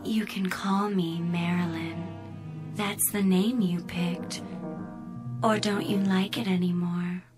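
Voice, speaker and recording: A woman playing a devoted, sultry spaceship computer in a radio drama, breathy, coaxing and intimate. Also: fairly low pitch, steady tone, takes it slowly, over music. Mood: seductive, soothing. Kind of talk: dramatic radio play acting.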